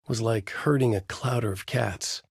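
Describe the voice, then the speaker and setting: Husky, brooding writer narrating darkly. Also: male voice, mid-range voice, dry recording, studio quality. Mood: grim. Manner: grave, deliberate, moody storytelling. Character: brooding male writer narrating his dark thriller story. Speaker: video game voice actor. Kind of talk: dramatic noir narration.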